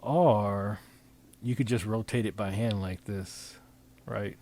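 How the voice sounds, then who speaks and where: A man talking through an informal software demonstration, improvising in a halting way as he thinks aloud and repeats phrases. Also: on the low side, steady tone. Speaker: presenter demonstrating design software. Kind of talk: informal screen-recording tutorial, improvised.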